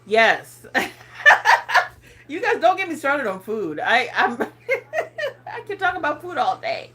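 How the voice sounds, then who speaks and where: A woman's voice: high-pitched, wildly swooping pitch, slow pace, a bit bright. Woman, 30s, accented English, casual phone livestream host, chatty and giggly, trips over words. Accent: non-native English accent. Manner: chatty, rambling, laughs often, stumbles over words. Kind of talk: casual live chat reading viewer comments.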